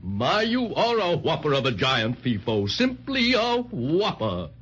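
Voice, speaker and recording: An actor playing a pompous, fretful, cowardly comic dragon in a 1930s children's radio serial. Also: male voice, fairly high pitch, huge pitch swings, a little slow, a bit bright, husky, exaggerated voice. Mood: fussy. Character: fussy, cowardly, self-pitying comic cloth dragon. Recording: muffled 1930s radio recording.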